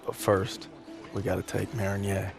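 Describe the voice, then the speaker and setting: A gravelly laid-back soldier. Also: male voice, sits low, flat delivery, normal speed. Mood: hopeful. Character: easygoing male soldier, steady older brother. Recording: with loud music underneath.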